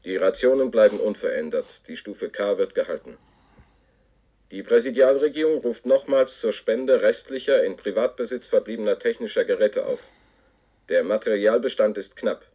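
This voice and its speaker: An actor playing the formal, declamatory announcer of a dystopian regime's propaganda broadcast in a radio play. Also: male voice, on the low side, moderate pace, mellow, highs cut off, close to an everyday voice. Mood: stern, official. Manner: formal, declamatory, slogan-repeating. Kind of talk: scripted public proclamation.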